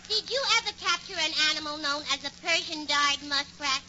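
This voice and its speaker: A woman's voice: high voice, brisk, natural voice. An actress playing a gabby, man-hungry, scatterbrained young New York woman in a 1940s radio comedy. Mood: indignant. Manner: gushing, ungrammatical, scatterbrained. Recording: noisy muffled 1940s radio recording.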